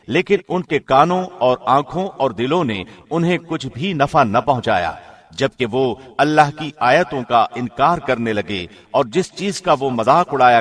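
Mid-pitched man reading scripture translation. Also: a bit bright. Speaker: translation reader, radio host.

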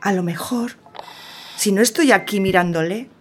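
Worried mother, played by an actress, medium pitch. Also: normal speed, a little hiss or hum, lightly acted. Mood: weary, hesitant. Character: worried, weary mother of injured child. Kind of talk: radio drama acting.

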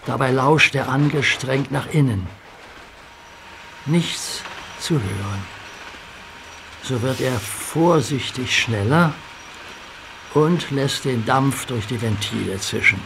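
A man narrating a children's audio drama in a calm, measured storytelling manner. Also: mid-range voice, vocal fry, background noise.

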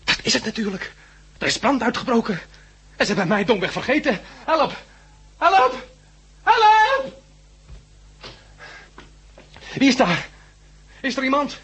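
A man's voice: very high voice, very animated, moderate pace, a bit bright, husky, full character voice. An actor playing a sardonic, irritable male hospital patient in a 1960s Dutch radio drama. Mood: agitated, calling out. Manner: grumbling, sardonic, talking to himself. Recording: hissy 1960s radio recording.

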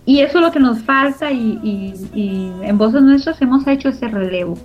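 A woman's voice: fairly high pitch, expressive, moderate pace. A middle-aged woman with a Costa Rican Spanish accent, answering interview questions in an earnest, emphatic way and repeating phrases for emphasis. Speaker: interviewee, co-founder of a community radio organization. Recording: muffled lo-fi audio with background music.

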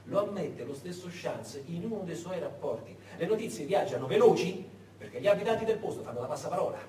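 Male stage narrator, high, very lively pitch, dramatic historical storytelling with weighty pauses. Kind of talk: theatrical historical storytelling, monologue. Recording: echoey stage, distant, faint noise.

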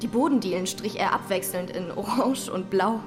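A woman's voice: medium pitch, moderate pace, over music, close to an everyday voice. A woman acting a matter-of-fact witness who recalls a troubled friend in a German radio play.

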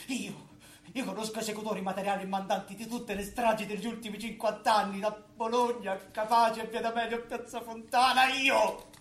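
Male actor, grandiose bitter know-it-all, high declamatory stage delivery, echoey room. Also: lightly acted. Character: megalomaniac, bitter man who claims to know every secret. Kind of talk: theatrical stage monologue. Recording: echoey stage recording with background noise.

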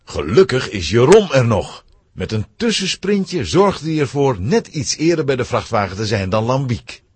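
A man's voice: mid-range voice, sing-song, moderate pace, darkish tone, slightly rough, dry recording. A man narrating a scripted children's story.

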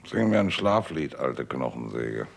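A sardonic, worn-out screenwriter in a 1960s radio crime drama. His extremely deep voice is creaky and husky, and he grumbles and teases from his bed. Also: big pitch swings, a little slow, heavily acted. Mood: tired, grumbling. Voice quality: gravelly, husky. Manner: sardonic, teasing. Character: weary, sardonic convalescent screenwriter, grumbling and cursing.

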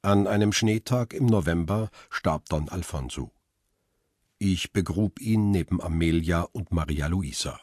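A middle-aged, world-weary photographer in a radio drama. His very low, husky voice is recorded close and dry, and he speaks in a measured, melancholy, reflective way. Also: a little mellow, no room sound. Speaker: first-person narrator and lead. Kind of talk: radio drama narration and dialogue.

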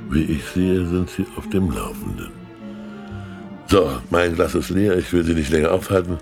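A very deep, gravelly older man, portly, jovial and sly. He is a knowing state official in a radio play, with a theatrical delivery that swings widely in pitch. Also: lively intonation, moderate pace, over music. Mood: sly, confiding. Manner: theatrical, self-mocking, swooping delivery.

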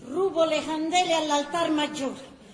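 A woman's voice: high-pitched, a little slow, reverberant, slight background noise. An actress playing a stubborn, feisty, plain-spoken wartime Florentine woman in a radio drama, with a Tuscan accent and emphatic, colloquial delivery. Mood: defiant, dismayed. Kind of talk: radio theatre acting and storytelling.

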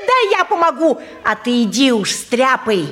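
An actress playing a sly, fawning, sharp-tongued junk dealer in a Russian stage drama, with folksy sing-song delivery. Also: female voice, sits high, big pitch swings, measured pace, heavily acted. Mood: fawning, plaintive.

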